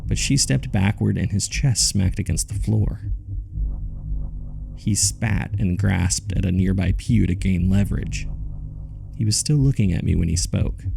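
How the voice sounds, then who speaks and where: A man narrating a horror audio drama as a grave witness, in a deliberate, somber storytelling manner. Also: sits low, vocal fry, dark tone, faint music behind, exaggerated voice. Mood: grave, uneasy.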